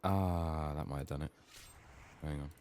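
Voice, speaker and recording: A soft-spoken man with a sick, strained voice, muttering downbeat, sweary ASMR commentary as he plays a video game. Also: very deep voice, fairly even intonation, unhurried, slight background noise.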